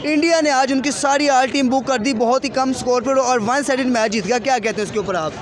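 A young man with a Pakistani accent works as a street interviewer. His voice is very high for a man. He speaks into a handheld mic outdoors, with clear crowd and street noise around him. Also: a little fast. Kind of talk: vox pop interview about cricket. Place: outdoors at night by parked cars and traffic. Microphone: handheld microphone.

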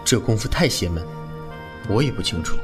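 A man's voice: fairly low pitch, expressive, brisk, music in the background, natural voice. A man playing an earnest, cautious young martial-arts hero in a Chinese radio drama, serious and plain-spoken. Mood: grave.

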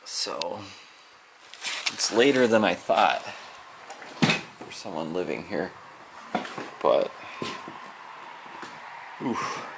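Deep, slow, gravelly-voiced man exploring. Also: expressive, noisy background. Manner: musing, low-key, trailing off into soft whispers. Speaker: abandoned farmhouse explorer. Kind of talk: casual walkthrough narration. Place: inside an abandoned farmhouse.

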